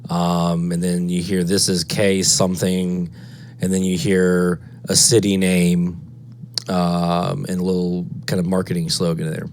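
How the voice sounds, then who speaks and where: A man's voice: low voice, monotone, unhurried. A man presenting a casual screen-walkthrough tutorial, thinking aloud step by step. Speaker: presenter of a radio DXing tutorial.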